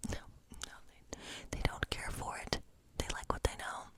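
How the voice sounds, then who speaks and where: A woman playing a hospitable, eccentric woodland witch in an ASMR role play, speaking in a breathy whisper with a slow, coaxing, reassuring, rambling manner. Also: natural voice. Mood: encouraging, chatty. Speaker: ASMR performer.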